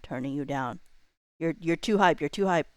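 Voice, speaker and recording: A woman with a fairly low, very clean and smooth voice hosts a casual livestream. She thinks aloud in a laid-back, slangy way, as if half talking to herself. The sound is close and dry, with very little room in it. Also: moderate pace, dry recording. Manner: informal, laid-back, slangy, muttering to self while working. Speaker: livestreamer hosting a chatbot roleplay stream.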